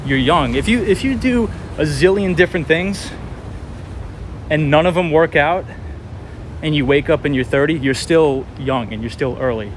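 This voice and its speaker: A man's voice: on the high side, moderate pace. A man in his twenties from New York City, chatting casually and encouragingly with livestream viewers while out walking on a city street at night. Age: 20s. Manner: animated, encouraging, talks to viewers by name. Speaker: livestream host. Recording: outdoor street traffic noise.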